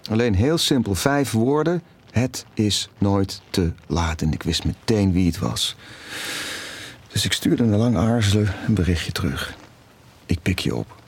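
Low-voiced, conflicted middle-aged father. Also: lively intonation, unhurried, breathy edge, heavily acted. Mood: reflective, confiding. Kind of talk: intimate naturalistic audio drama dialogue.

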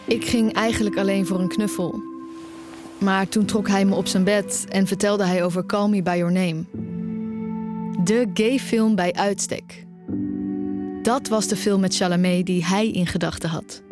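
A woman's voice: medium pitch, steady tone, a little slow, pauses often, natural voice. Actress as a young female student, lovesick, self-mocking, confessional, audio drama, music underneath. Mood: wry confiding. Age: young adult. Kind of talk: audio drama narration and dialogue.

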